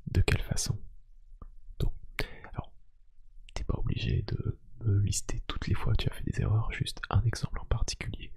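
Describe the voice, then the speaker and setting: A man with a low-pitched, very breathy voice that often slips into a whisper. He talks softly and unhurriedly, as in an ASMR roleplay, with gentle, hesitant, lightly teasing questions to one listener. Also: sing-song, normal speed, pauses often. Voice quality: hushed, breathy, half-whispered. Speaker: ASMR roleplay host asking personality questions. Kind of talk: soft-spoken ASMR roleplay, one-sided conversation.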